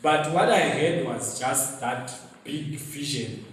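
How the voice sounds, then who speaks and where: A man from Zimbabwe with a Zimbabwean accent, giving an animated, storytelling motivational talk at a luncheon and laughing at his own stories. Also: medium pitch, slow. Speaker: guest speaker. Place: event venue hall. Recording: very echoey hall, mic set far off.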